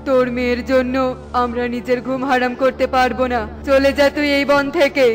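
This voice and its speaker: Female actor as cartoon forest creature, scolding, high, monotone, loud music. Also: moderate pace, natural voice. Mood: stern, dismissive. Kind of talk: cartoon voice acting.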